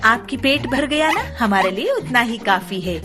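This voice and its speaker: A talkative little cartoon bird, played by a woman, speaks with big swings of pitch. She is caring and exclamatory, a bubbly, good-hearted heroine. Music runs behind her. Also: very animated, normal speed. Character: chatty, kind-hearted female cartoon bird, quick to scold bullies. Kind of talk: children's cartoon story acting.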